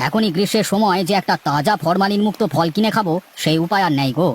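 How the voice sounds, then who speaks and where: A man playing a chatty, bossy village gentleman and doting father in a cartoon, with an expressive, instructing delivery. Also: sits high, fast talker. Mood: grumbling, bossy. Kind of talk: acted dialogue in a moral-story cartoon.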